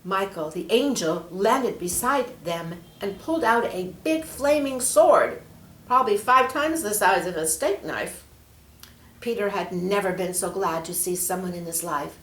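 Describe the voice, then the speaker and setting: A woman reading a children's story aloud expressively, measured and leaning on key words. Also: mid-range voice, lively intonation, a bit bright. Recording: echoey room.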